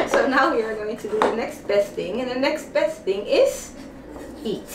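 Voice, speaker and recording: Young Dutch-accented woman, unhurried playful vlogger, high animated voice, roomy echo. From Netherlands. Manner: playful, giggly, self-mocking. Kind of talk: casual vlog chat. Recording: echoey room, faint background noise.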